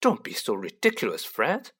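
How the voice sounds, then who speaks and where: A narrator voicing a scolding, bustling middle-aged mother witch in an audiobook, fussy but fond, with sharp exclamations. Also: mid-range voice, wildly swooping pitch, moderate pace, slightly rough, exaggerated voice.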